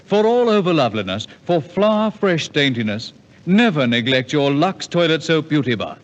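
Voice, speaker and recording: Old timey 50s radio ad guy, scripted pitch, very lively voice, echoey and kinda muffled. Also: moderate pace. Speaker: commercial announcer. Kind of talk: 1950s radio advertisement, scripted. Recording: muffled 1950s radio, long reverb.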